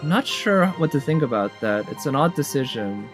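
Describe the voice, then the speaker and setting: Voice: medium pitch, sing-song, normal speed. Casual game reviewer musing over music. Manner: conversational, musing, thinking aloud. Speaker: reviewer reacting to game trailers. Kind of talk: casual reaction commentary. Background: game trailer music.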